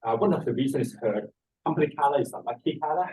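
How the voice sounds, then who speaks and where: Man, middle-aged or older, Hong Kong accent, halting seminar speaker, repeats words, recorded from a distance. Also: on the high side, expressive, dark tone. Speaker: feng shui master, seminar speaker. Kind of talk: informal seminar presentation. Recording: distant microphone in a room.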